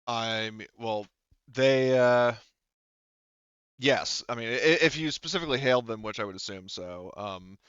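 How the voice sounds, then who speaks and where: A man narrating a tabletop roleplaying game as game master, improvising in a halting, hedging way. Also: medium pitch, a bit bright, clear, resonant tone, dry recording, lo-fi sound.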